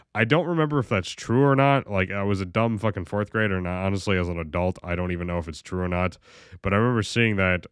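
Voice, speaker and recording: Young American man, very low voice, loose rambling delivery, dry recording. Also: dry recording. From United States. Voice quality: very deep voice. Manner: rambling, lots of fillers and restarts, swears casually. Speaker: video creator. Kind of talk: casual monologue vlog.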